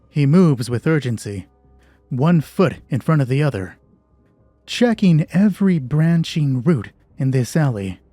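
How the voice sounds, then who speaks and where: Husky, mellow male storyteller. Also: a little high, dry recording. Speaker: host and narrator of a solo roleplay channel. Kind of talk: scripted dramatic horror story narration.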